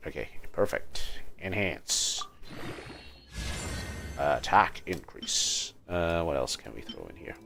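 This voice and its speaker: A man's voice: low-pitched, animated, slow pace, creaky, long pauses. A man livestreaming a video game with casual commentary, muttering to himself as he thinks aloud. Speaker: livestreamer, gamer. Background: game music.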